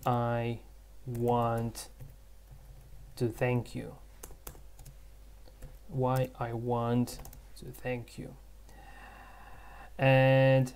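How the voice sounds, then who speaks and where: A man whose first language is Russian, teaching English by thinking aloud in a halting way, with many fillers and restarts. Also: medium pitch, gravelly, darkish tone, clear, resonant tone. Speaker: English tutor. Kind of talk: screen-recorded language lesson.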